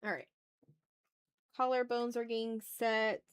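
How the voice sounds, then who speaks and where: A woman's voice: fairly high pitch, flat delivery, unhurried, clear, resonant tone. Casual woman streamer, thinking aloud. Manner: informal, thinks aloud, mutters to self. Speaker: art livestream host. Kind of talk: casual livestream chat while drawing.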